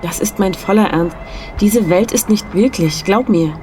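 A woman's voice: medium pitch, measured pace, mellow, a little hiss or hum, lightly acted. An actress playing a mysterious, insistent woman guide in a radio play, speaking with earnest urgency.

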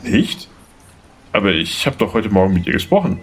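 A man's voice: on the low side, very animated, moderate pace. A man playing a polite, slightly unsure and charming young lawyer in an audio drama. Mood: preoccupied, puzzled. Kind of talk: German audio drama dialogue.

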